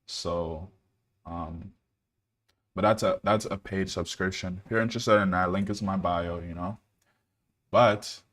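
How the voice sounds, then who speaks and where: A young man from Ghana with a deep, low voice talks through a live trading stream in a relaxed, casual way. He fills pauses with "like" and "um" and answers viewers' chat questions as they come in. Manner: relaxed, laid-back, many fillers, reads out chat questions. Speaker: day trader streaming live.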